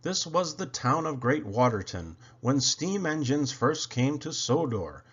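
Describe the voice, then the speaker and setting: An authoritative gentleman railway boss from a children's storybook, acted out by a man reading aloud. He explains and proclaims approvingly with lively, wide-ranging pitch. The recording is a bit dull. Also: measured pace, husky, full character voice. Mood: proud, informative.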